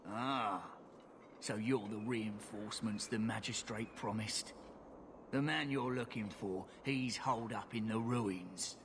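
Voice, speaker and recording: Low-voiced, wary city guard. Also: male voice, slow pace, a bit bright, slight background noise. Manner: dry, cautioning. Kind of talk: video game dialogue.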